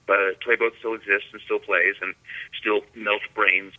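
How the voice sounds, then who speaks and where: A man reminiscing casually as a guest on a call, halting and often repeating his words. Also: lowish voice, level tone. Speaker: guest musician. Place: remote call into the show. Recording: dull, lo-fi call audio.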